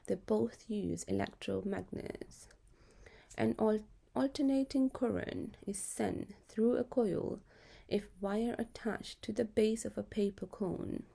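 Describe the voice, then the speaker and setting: Female educational narrator, explanatory and measured, mellow timbre with noticeable vocal fry. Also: mid-range voice. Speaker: physics tutor presenting. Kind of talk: scripted narration of an exam lesson.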